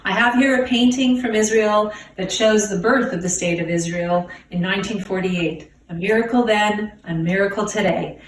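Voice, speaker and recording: A woman, an executive director, giving a measured and clear video greeting. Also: medium pitch, steady tone, echoey room, far from the mic, a little hiss or hum, rough recording.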